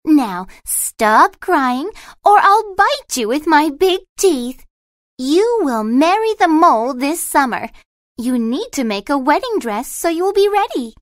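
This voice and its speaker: A man's voice: extremely high pitch, very animated, a little slow, a bit bright, no echo, clean studio sound, pitched above the performer's own voice, full character voice. A man voicing a bossy female field mouse in a storybook reading, scolding and pushy. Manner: scolding, threatening.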